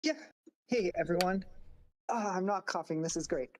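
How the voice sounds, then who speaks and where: A man's voice: sits high, normal speed, slight background noise. A man who works as a voice actor, casually introducing himself to a group.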